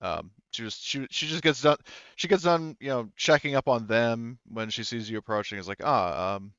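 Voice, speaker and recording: A man narrating a tabletop roleplaying game as game master, in a halting, informal way. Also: mid-range voice, a bit bright, clean tone, dry recording, muffled recording.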